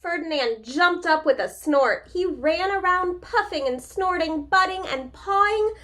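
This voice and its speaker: A woman reading a picture book aloud to young children as a preschool teacher, expressive and speaking to them directly with questions. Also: very high-pitched, a little slow.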